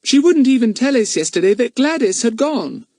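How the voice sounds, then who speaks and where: A man's voice: very high voice, big pitch swings, normal speed, a little mellow, dry recording, clean studio sound, voice pushed up, full character voice. A man voicing an earnest, indignant young schoolgirl.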